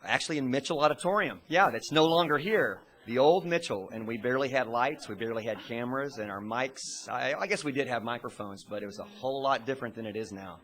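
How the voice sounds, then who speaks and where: A man's voice: mid-range voice, fast talker, hardly any pauses, a bit bright. A man reminiscing about hosting a student show, enthusiastic and full of fillers. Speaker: former show host. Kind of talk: casual interview.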